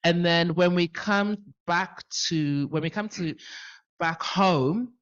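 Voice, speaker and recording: A man at a policy roundtable with a loud voice, speaking forthrightly and stressing his points by repeating them. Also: high-pitched, slow pace, clean tone, muffled recording. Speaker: panel participant.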